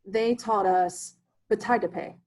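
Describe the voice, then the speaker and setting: A man's voice: high voice, fairly even intonation, slow pace, darkish tone. A man reading the part of an erudite immigrant substitute teacher who speaks formally and drops his articles, in an amateur play reading. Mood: earnest.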